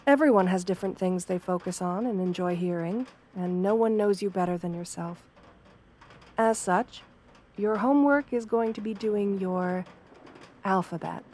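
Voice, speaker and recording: A kind wolf girl therapist, acted by a woman for an ASMR roleplay. She speaks softly at a medium pitch. Her manner is gentle and professional, measured and reassuring, like a patient counsellor. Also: lightly acted. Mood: encouraging, instructive. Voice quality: soft-spoken. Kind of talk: soft-spoken ASMR roleplay.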